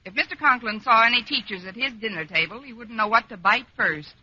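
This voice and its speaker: An actress playing a wry, exasperated schoolteacher with a dry, sardonic delivery in an old radio comedy. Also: female voice, fairly high pitch, fairly quick, natural voice. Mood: dryly sarcastic. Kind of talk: 1940s-50s radio sitcom acting. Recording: dull, noisy old-time radio recording.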